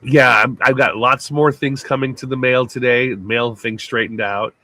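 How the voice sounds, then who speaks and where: A male co-host with a gruff voice, talking in a loose, laid-back way from a small home sound booth, swearing casually and laughing mid-sentence. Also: medium pitch, steady tone, moderate pace, sharp tone. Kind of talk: casual live-stream chat.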